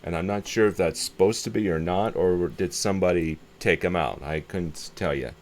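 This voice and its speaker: A man's voice: low voice, normal speed. A man giving a casual, unscripted hands-on show-and-tell of a repair, muttering to himself and thinking aloud as he works, recorded on a camera microphone. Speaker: hobbyist presenting a radio repair video. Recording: clear background noise and ambience.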